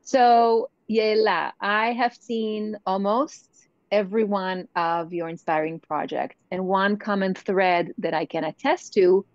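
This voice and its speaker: A woman hosting a podcast, introducing her guest in an earnest, prepared way. Her voice sits a bit low, and the recording is dry and dull with little top end. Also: darkish tone, no room sound. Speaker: podcast host. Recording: dull, muffled sound.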